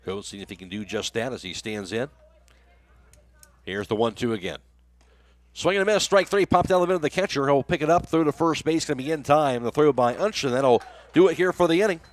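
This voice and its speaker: A man's voice: medium pitch, brisk. An American man doing live radio play-by-play commentary of a baseball game.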